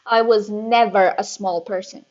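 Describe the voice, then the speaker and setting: A woman voicing a huge, cruel headmistress who barks and shouts with contempt, on a dull lo-fi recording. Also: mid-range voice, moderate pace, natural voice. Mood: bellowing scorn. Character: huge bullying female headmistress, furious and cruel.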